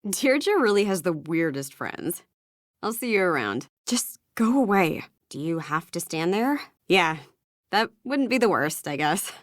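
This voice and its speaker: A woman's voice: fairly low pitch, big pitch swings, measured pace, dry recording, clean studio sound. A woman playing a guarded, prickly young woman in a video game, curt and awkwardly candid.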